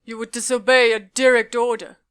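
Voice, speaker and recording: A woman voices a duty-bound military commander in a fan audiobook. The tone is formal and flat, with smooth, clean diction. It sounds stern and measured in a dry recording. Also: a little high, no room sound, close to an everyday voice.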